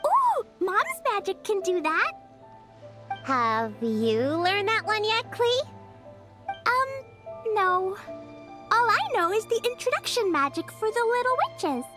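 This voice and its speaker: Cute little girl, very high pitch, animated, childlike, video game character, music behind. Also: takes it slowly, long pauses, heavily acted. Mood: curious. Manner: childlike, exclamatory.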